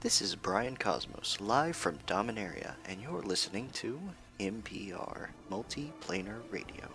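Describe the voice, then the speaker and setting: A man's voice: fairly low pitch, lively intonation, unhurried, sharp tone, soft background music, heavily acted. A man playing an earnest news anchor in a fantasy radio news parody, with a polished, presentational delivery. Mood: earnest newsreading.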